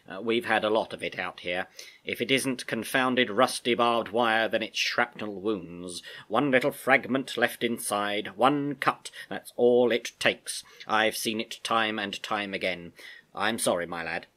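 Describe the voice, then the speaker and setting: A man voicing a firm but humane army major in a storybook, speaking in a deliberate, clipped, authoritative way. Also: on the low side, pushed and bright. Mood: grave, regretful.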